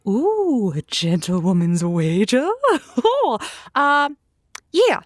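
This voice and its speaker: An actress playing an earnest, playful radio advice host in an audio drama, with a hesitant, folksy way of talking. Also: female voice, on the high side, huge pitch swings, extremely slow, no echo, studio quality, heavily acted. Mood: amused, skeptical. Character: chatty, earnest, skeptical female advice radio show host.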